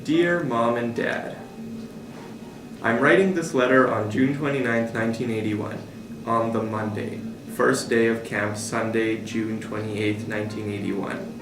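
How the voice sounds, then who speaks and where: A man's voice: lowish voice, steady tone, a little slow. A man reading a child's camp letter aloud, stumbling a little over the written words. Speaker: staff member reading aloud. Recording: echoey room, distant mic, music behind. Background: guitar music.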